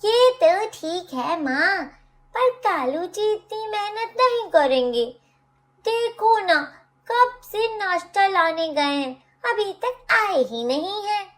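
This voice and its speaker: A woman voicing a young crow wife in an animated moral story, plaintive, scolding and easily tearful. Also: very high voice, slow, full character voice. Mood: concerned. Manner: plaintive, dramatic.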